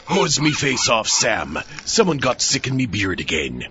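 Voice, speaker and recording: A man's voice: mid-range voice, wildly swooping pitch, unhurried, noisy background. A man playing a blustering, eccentric pirate captain with pompous, theatrical delivery in a comedic audio drama. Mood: commanding. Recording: dull band-limited audio under loud music.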